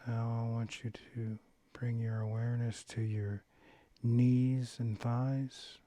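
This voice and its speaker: A man leading a guided meditation, giving gentle, unhurried instructions with long pauses between phrases. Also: medium pitch, level tone, no echo. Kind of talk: guided body-scan meditation, calm instruction.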